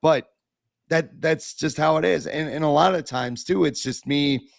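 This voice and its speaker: A man on a sports podcast, hoarse and raspy from being sick, talking bluntly and with self-deprecating humor. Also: fairly high pitch, measured pace, dry recording. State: hoarse from illness. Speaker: podcast co-host. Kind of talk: casual sports podcast talk.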